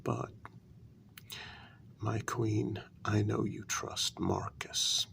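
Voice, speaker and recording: A man voicing a measured, insinuating vampire who serves his queen, in a soft-spoken, mostly whispered ASMR role-play with deliberate, drawn-out pauses. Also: lowish voice, flat delivery, gravelly, slight background noise, exaggerated voice. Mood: quietly insinuating.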